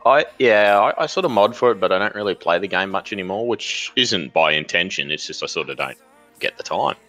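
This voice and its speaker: A man's voice: deep voice, expressive, fairly quick, sharp tone. A man from Australia, a guest modder, talking modestly and self-deprecatingly in a gaming voice chat. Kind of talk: casual voice chat.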